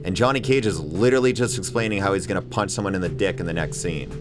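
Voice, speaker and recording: A man's voice: low-pitched, fast talker. A man delivering an opinionated, emphatic scripted video essay as host, with casual swearing. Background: music bed under voice-over.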